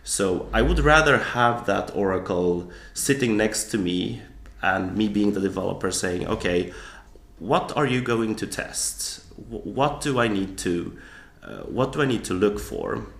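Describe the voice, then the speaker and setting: A man with a low, gravelly voice talks through his ideas in a podcast interview. He explains things thoughtfully and often restarts his sentences. The sound is roomy and echoey. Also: unhurried, fairly bright tone. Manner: thoughtful, with frequent restarts and fillers. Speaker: guest author.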